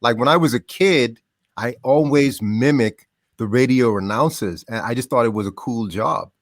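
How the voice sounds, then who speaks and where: Animated man from the Bahamas. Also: medium pitch, moderate pace, dry recording, clean studio sound. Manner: animated, laughs often, trails off into quieter asides. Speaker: podcast guest. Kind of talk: casual storytelling.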